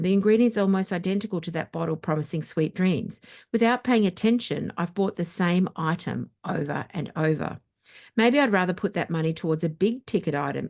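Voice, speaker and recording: A woman with a slightly low voice reads from a book as a storytime host. Her delivery is expressive and conversational, with wry turns on the questions she reads. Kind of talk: expressive book reading with casual asides.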